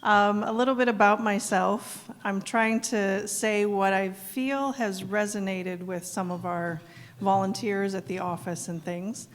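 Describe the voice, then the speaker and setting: A woman's voice: mid-range voice, steady tone, a little slow. A middle-aged woman from South Dakota, answering questions at a live evening event in an earnest way, with frequent ums. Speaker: executive director being interviewed. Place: event hall. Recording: long hall reverb.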